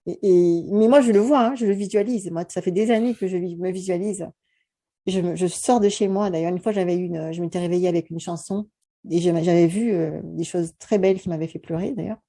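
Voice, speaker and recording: A woman's voice: lowish voice, brisk, dark tone. A woman from France hosting an interview, talking earnestly and at length, with many fillers like "euh" and "voilà". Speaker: host. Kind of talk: casual conversation.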